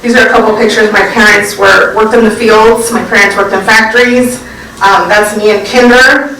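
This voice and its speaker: A woman from California's Central Valley giving a prize acceptance talk with slides, speaking thoughtfully with frequent "um" pauses. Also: medium pitch, fairly even intonation, bright, forceful tone, slight background noise. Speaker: award recipient and education researcher. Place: lecture hall at an award event. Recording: echoey room, distant mic.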